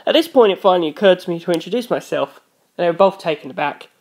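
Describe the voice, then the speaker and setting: A woman's voice: on the low side, expressive, fast, darkish tone. A woman reading scripted first-person story narration as an audiobook narrator.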